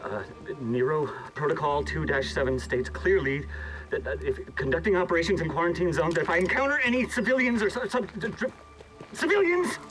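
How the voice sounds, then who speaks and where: A man playing a nervous, high-pitched stammering scientist. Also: lively intonation, over music, lightly acted, poor audio. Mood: frightened, flustered. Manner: stammering, halting, anxious. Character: nervous male government research scientist in a hazmat suit. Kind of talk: cinematic video game acting.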